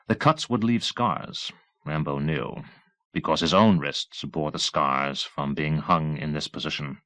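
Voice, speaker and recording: A low-voiced male audiobook narrator reads dramatic fiction. His phrasing is measured and deliberate, and the sound is close and dry, with very little room. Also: a bit bright, no room sound. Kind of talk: scripted novel narration, dramatic.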